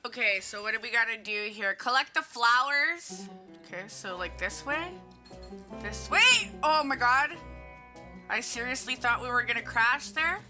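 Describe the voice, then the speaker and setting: A woman's voice: on the high side, animated, unhurried. A woman livestreaming a game, chatting casually with her viewers in an exclamatory way. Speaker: game streamer. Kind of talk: casual livestream gameplay chat. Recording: game music behind voice. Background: video game music.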